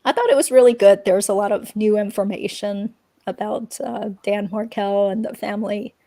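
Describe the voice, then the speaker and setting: A woman's voice: medium pitch, fairly even intonation, normal speed, warm tone, no echo. A woman hosting a livestream, chatting informally in a casual commentary style. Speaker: livestream host. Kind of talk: casual chat, true-crime commentary.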